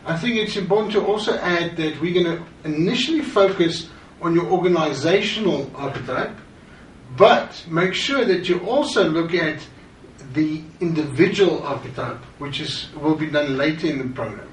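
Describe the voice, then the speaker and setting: A man's voice: fairly high pitch, normal speed. A middle-aged man from Africa, co-presenting a course in a conversational way. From South Africa. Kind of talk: course introduction. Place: studio with green-screen backdrop. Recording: roomy, distant, faint hiss.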